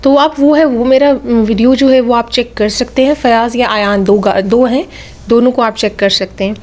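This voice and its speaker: Female vlogger, Pakistani accent, fairly high voice touched by a sore throat; chatty, casual delivery with English phrases dropped in. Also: fairly even intonation, moderate pace, a little mellow. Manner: chatty, repeats phrases for emphasis. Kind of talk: casual vlog commentary with English phrases mixed in.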